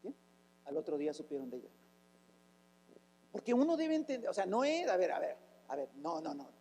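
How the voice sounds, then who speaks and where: A man's voice: fairly high pitch, big pitch swings, a little fast, a little mellow, big-room sound, low-quality recording. A man, preaching a fervent sermon as a pastor, asking rhetorical questions and breaking into sudden exclamations. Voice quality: raspy, rough voice.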